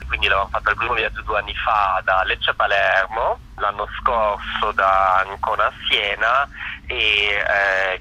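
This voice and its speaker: A man's voice: fairly low pitch, bright, forceful tone, slightly rough, low-quality recording. A man giving informal, spontaneous interview answers as an event organizer, hesitantly searching for his words.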